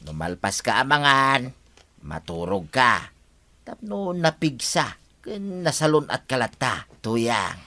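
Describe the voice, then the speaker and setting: An actor playing a smug, smooth-talking philandering husband in an Ilocano-accented radio comedy drama. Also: male voice, mid-range voice, very animated, slow pace, bright, forceful tone, heavily acted. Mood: coaxing.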